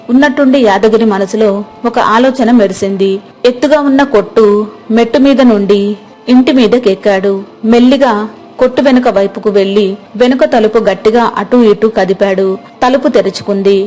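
A woman's voice: mid-range voice, mellow. A woman narrating a scripted moral story as the storyteller.

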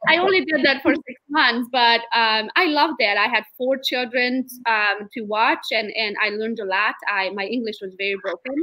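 A woman in her late thirties from Romania with a Romanian accent, a guest on a casual video-call interview, telling her story in a lively way and laughing often. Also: on the high side, moderate pace, few pauses, low-quality recording. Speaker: guest realtor. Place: home room, indoors. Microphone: laptop webcam on video call.

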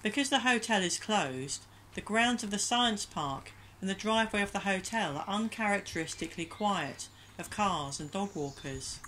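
A woman speaks a reflective prayer in a calm, gentle, measured way. Her voice sits at an ordinary middle pitch. Faint background noise sits under the recording. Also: rough recording. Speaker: church minister leading prayer.

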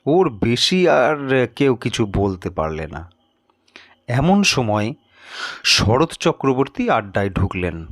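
A man narrating a scripted story in a measured, expressive storytelling manner. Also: medium pitch, dry recording, studio quality. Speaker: audiobook narrator.

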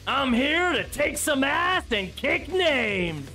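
Man playing a high-voiced hotheaded young yakuza brawler. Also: sing-song, slow, over loud music, exaggerated voice. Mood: fired up. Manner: brash, bumbling boasts. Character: hot-headed young male yakuza brawler, cocky and goofy. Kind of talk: anime-style audio drama acting.

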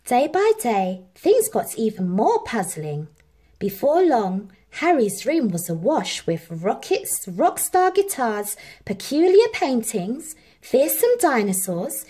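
A woman reading a children's story aloud as an expressive, measured narrator. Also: fairly high pitch, husky. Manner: animated, measured. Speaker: storybook reader.

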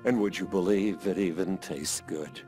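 A man's voice: deep voice, moderate pace, dark tone, lightly acted. A man playing a proud, blustering middle-aged inventor in a cartoon game, pompous and emphatic. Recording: background music.

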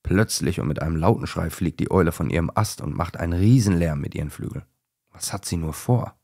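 Male narrator for a children's bedtime tale; low pitch, husky grain, dry close sound. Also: a little fast, darkish tone, no room sound. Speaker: narrator of a children's audio story. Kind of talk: scripted bedtime story narration.

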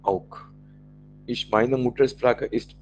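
Slow Indian man teaching German. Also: medium pitch, steady tone, faint music behind, low-quality recording. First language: Marathi. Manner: slow, repeats German phrases carefully for learners. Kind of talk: online language lesson.

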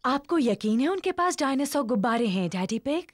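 A woman's voice: sits high, very animated, brisk, no echo. A sensible mother pig. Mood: gentle. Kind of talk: cartoon dub acting.

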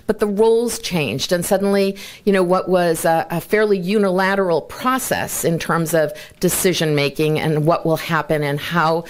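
A woman in her sixties opening a conference with an informal talk, full of chatty asides, speaking at a podium in a large bright hall. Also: lowish voice. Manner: chatty, self-deprecating asides with light laughter. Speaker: conference organizer and presenter. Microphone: lapel mic, then handheld mic.

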